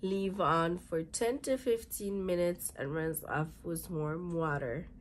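A woman in her thirties, hoarse and raspy from a cold, chatting through a beauty unboxing and reading product labels aloud in a halting way, in a small room. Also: on the low side, slight background noise. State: hoarse, congested from illness. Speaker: beauty vlogger. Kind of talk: casual unboxing chat. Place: small bedroom with fluffy chair, indoors.

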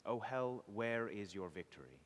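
A man reading a homily as clergy, in a solemn, measured, declamatory liturgical style. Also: fairly low pitch, expressive. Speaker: clergy reader. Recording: long reverb of a church.

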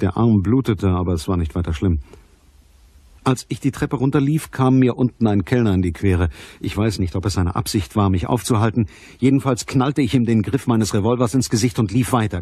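Hard-boiled detective, low husky voice, terse, unsentimental, crime radio play narration. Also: male voice, a little fast, warm tone, no echo. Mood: matter-of-fact. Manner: terse, matter-of-fact storytelling. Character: hard-boiled private detective, cool, watchful, unsentimental man. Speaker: actor playing narrator and lead detective. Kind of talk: scripted first-person crime radio drama narration.

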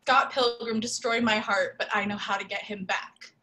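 A woman's voice: medium pitch, fairly even intonation, normal speed, bright, forceful tone, lightly acted, low-quality recording. A woman reading the part of a jilted, vengeful seventeen-year-old girl in an amateur script read, indignant and dramatic. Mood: scheming, excited. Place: home room, video call.

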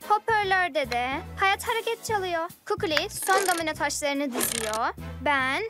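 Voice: very high voice, animated, moderate pace, music in the background. A performer voicing a bossy, eager friend in a children's cartoon, insistent and directing everyone around. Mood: directing, excited.

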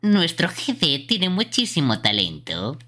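A woman voicing a sneering male bat creature henchman in an amateur game dub, with mocking, drawn-out delivery. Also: low-pitched, wildly swooping pitch, bright, forceful tone, heavily acted. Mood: boastful. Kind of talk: amateur live game dubbing.

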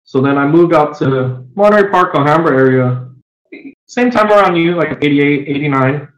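A man in his forties, born in Japan and raised near Los Angeles, a guest telling stories in a relaxed, easygoing way on a video-call podcast. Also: a little high, normal speed. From born in Japan, raised east of Los Angeles. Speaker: guest, fighting game veteran. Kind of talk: casual podcast interview over video call. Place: room at home. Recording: roomy, dull lo-fi webcam audio.

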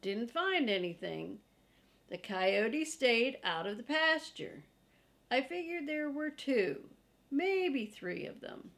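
A woman's voice: fairly high pitch, very animated, slow pace, gravelly, fairly bright tone. An older woman reading a children's chapter book aloud as a storyteller. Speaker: storybook reader. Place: living room, seated in an armchair.

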